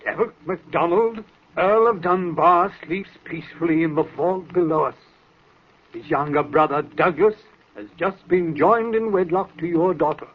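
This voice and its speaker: An actor playing a calm, firm village minister and peacemaker, formal and measured, in old radio drama. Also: male voice, fairly high pitch, slight background noise, lightly acted. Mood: firm, placating. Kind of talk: 1940s radio acting. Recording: tinny old radio recording.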